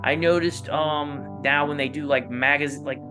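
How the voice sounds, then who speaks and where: A man's voice: fairly high pitch, fairly even intonation, measured pace. A man speaking as an interview guest in casual, rambling conversation, often checking in with "you know". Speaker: interview guest, analog horror creator. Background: plucked guitar music.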